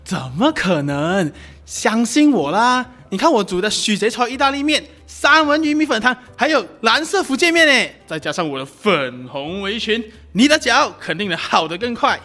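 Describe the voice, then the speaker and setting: A man's voice: high-pitched, very animated, normal speed, few pauses, pushed and bright, exaggerated voice. A man with a Malaysian accent playing a playful, doting gym teacher who teases and coaxes his boyfriend in a Chinese radio drama. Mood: coaxing. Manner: teasing, coaxing, sing-song particles. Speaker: amateur voice actor.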